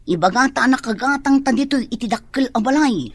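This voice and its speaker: A younger sibling who is uneasy and hesitant. Her voice is husky and fairly high. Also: fairly quick, dry recording. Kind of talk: radio drama acting.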